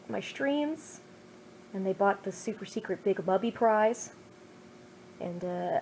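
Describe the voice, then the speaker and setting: A woman's voice: medium pitch, warm tone, a little hiss or hum, low-quality recording. A woman in her twenties, a streamer reading her own old fan fiction with self-mocking, over-the-top jokes. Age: 20s. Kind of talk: casual chat then dramatic story reading.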